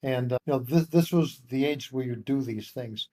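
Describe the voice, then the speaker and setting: Warm-voiced older man telling stories. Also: medium pitch, normal speed. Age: 70s. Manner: rambling anecdote teller with frequent restarts. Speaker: guest author. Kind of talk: casual interview storytelling.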